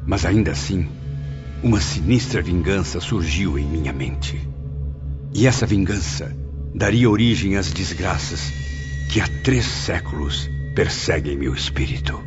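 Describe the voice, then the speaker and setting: A man's voice: low voice, animated, unhurried. A man playing a cruel, tormented male spirit confessing his crimes, theatrical and bitterly mocking, in an audiobook drama. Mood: bitter brooding. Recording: muffled audio under loud music.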